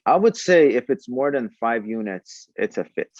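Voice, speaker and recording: A man's voice: medium pitch, big pitch swings, moderate pace, darkish tone, no echo, muffled recording. A man talking conversationally as a podcast guest, explaining a product and often repeating words as he goes. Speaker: startup founder, podcast guest.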